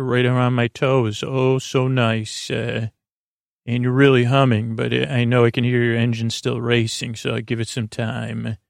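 A man with a creaky, gravelly voice hosting a sleep podcast, rambling in a lulling, halting, meandering bedtime monologue. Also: medium pitch, dry recording, clean studio sound. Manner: lulling, soothing, halting with tangents.

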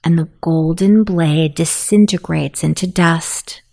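A woman narrating a guided sleep meditation in a soothing, softly spoken, unhurried manner. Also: sits low, vocal fry.

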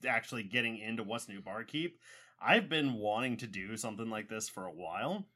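A man's voice: on the low side, a little fast, bright, forceful tone. A man co-hosting a podcast in casual chat, with a voice that sounds like a radio announcer's and frequent laughter. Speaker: podcast co-host.

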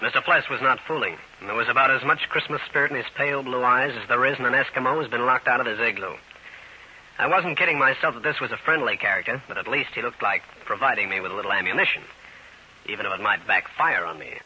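An actor playing a wisecracking New York private detective in an old radio drama, terse, slangy and wry. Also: male voice, mid-range voice, fast, pushed and bright. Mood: wry narration. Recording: dull, hissy old radio recording.

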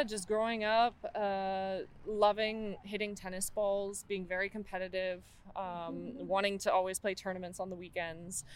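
A young American woman, a professional tennis player, answering interview questions in a relaxed way, with many fillers and restarts. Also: medium pitch, slight background noise. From Florida, United States.

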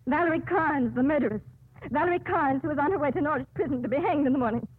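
A woman's voice: high voice, quick pace, natural voice. A woman playing a bitter, defiant young condemned prisoner in a 1950s radio drama. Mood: defiant, despairing. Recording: thin phone-like old radio broadcast.

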